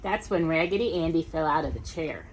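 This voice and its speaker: A woman reading a storybook aloud for children, expressive and gentle, with chatty asides. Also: low-pitched, fairly quick, slight background noise, poor audio.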